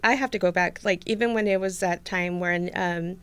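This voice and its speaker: Woman, interview guest, resonant voice, halting and thoughtful, dry close sound. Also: fairly low pitch, fairly even intonation, fairly bright tone, smooth, dry recording. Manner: thoughtful, halting, many restarts. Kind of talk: conversational interview.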